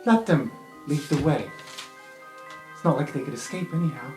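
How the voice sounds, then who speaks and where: A man voicing a coldly dismissive veiled villain in a tabletop game, speaking in a measured, commanding way. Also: a little high, reverberant, music in the background, lightly acted.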